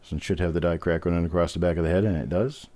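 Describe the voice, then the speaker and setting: A man hosting a live coin reveal, with a smooth deep voice, chatting in a relaxed way and making self-deprecating jokes. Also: very fast, dry recording. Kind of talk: casual live-stream commentary.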